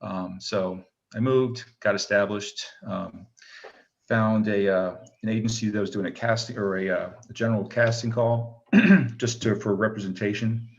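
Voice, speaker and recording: A middle-aged man with a low voice tells his story at an easy pace. He wanders through his answers, full of fillers and restarts. The sound is dull and lo-fi. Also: normal speed, vocal fry. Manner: rambling, many fillers and restarts. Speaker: guest, actor and retired military pilot. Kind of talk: casual interview answers.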